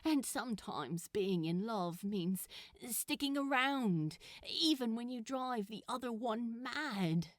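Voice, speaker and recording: A mischievous male demon in a storybook romance, acted out by a woman, who pours out feeling in an emotional, dramatic rush. The voice sits fairly high and swings widely in pitch. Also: animated, takes it slowly, dry recording, clean studio sound. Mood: earnest, apologetic. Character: frantic, remorseful male demon in love, mischievous.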